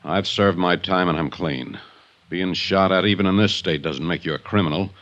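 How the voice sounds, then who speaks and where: A laconic, unflappable ex-convict with an extremely deep voice. The man is sardonic and self-contained, in a dull-sounding 1950s radio drama. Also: moderate pace. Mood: urgent, insistent. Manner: laconic, deadpan.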